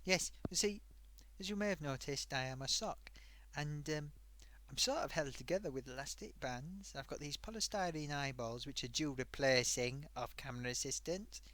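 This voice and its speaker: Man playing a sock puppet host, rambling, chats to viewers, sore throat, slightly high voice, dry close mic. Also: moderate pace, a bit bright, dry recording, close to an everyday voice. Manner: rambling, wandering off topic, talks to viewers. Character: chatty male sock puppet show host. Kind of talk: rambling comedic live-stream monologue.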